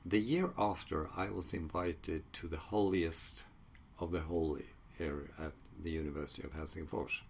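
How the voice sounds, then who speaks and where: A man whose first language is Swedish, a psychology researcher talking casually in a solo video, halting often with fillers and restarts. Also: deep voice, fairly even intonation, vocal fry, slight background noise.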